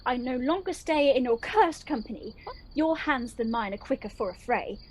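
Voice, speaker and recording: A woman's voice: fairly high pitch, lively intonation, moderate pace, a little hiss or hum, natural voice, rough recording. A woman playing a timid, lovelorn young woman, pleading and melodramatic in an amateur Shakespeare radio play. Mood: defensive.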